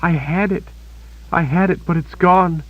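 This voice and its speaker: A wounded young woman begs and pants in breathless panic, as a male audiobook narrator lifts his voice high to play her. Her voice sits far above his own deep tone. The recording is dry and a little dull. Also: fairly even intonation, unhurried, no room sound. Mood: tearful.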